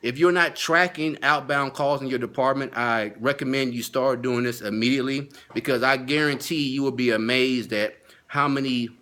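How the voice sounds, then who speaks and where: A man's voice: medium pitch, fairly even intonation, normal speed, hardly any pauses, sharp tone. A man speaking as a sales training consultant in an informal video lesson, stressing and repeating his key points. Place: at home.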